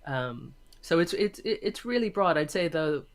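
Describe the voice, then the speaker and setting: A woman's voice: low voice, lively intonation, normal speed. A woman answering casually as an interview guest, stressing her points by repeating phrases. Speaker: interview guest, teacher of storytelling students.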